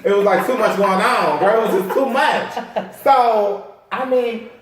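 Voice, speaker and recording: Male American host, higher-pitched voice, effusive and slangy, chatty interviewing, recorded distant from the mic. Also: a little slow. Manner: effusive, encouraging, sassy slangy talk. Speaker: makeup artist. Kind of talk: casual, chatty interview talk. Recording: very echoey room, far from mic.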